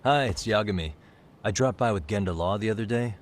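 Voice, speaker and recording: Low-voiced, composed male detective, played by an actor. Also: a little hiss or hum, lightly acted. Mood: calm, persistent. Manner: calm, measured, politely probing questioning. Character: composed, persistent male private detective and lawyer, adult. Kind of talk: English-dubbed video game cutscene acting.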